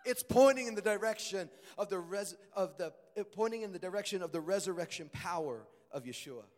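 A man preaching a sermon, his voice hoarse and strained, earnest and rising to emphatic peaks. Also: sits high, expressive, a little fast. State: strained voice, deeper than usual. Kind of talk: sermon reading and expounding scripture. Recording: long hall reverb.

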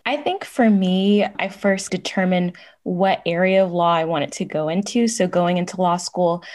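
Casting: twenties woman, slightly low voice, friendly and straightforward. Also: moderate pace, a little mellow. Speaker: law student guest.

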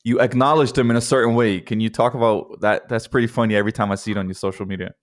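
A man with a fairly low voice hosts a casual interview. He builds his questions haltingly, doubling back on words in an informal, conversational way. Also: fairly even intonation. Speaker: podcast host, interviewer.

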